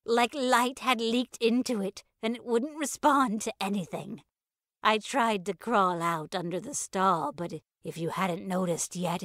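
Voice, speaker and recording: A woman narrator with a medium-pitched voice tells a scripted first-person story. The recording is close and dry, with little room sound. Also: a bit bright, dry recording.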